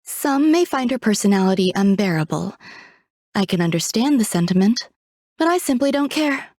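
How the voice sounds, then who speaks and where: A serene, aloof genius scientist from a video game. She is a refined woman with a medium-pitched voice, speaking with poised, poetic detachment. The sound is very dry, with no room around it. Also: sing-song, a little mellow, no echo. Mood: detached, composed. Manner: composed, poetic, measured, coolly detached. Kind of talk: video game character voice lines.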